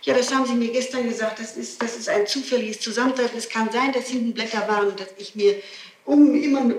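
A woman's voice: a little high, fairly even intonation, fast talker. Woman being questioned, keeps insisting and repeating herself, roomy old 60s tape w some hiss. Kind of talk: spontaneous testimony under interrogation. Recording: echoey, noisy 1960s interrogation tape.

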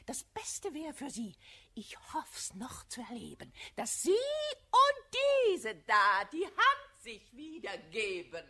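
An indignant lady of classical comedy for radio. Her voice is forceful and somewhat husky. She declaims slowly and theatrically, full of scorn. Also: a little high, wildly swooping pitch, exaggerated voice. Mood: scornful. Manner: emphatic, theatrical verse delivery. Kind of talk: German classical verse radio drama acting.

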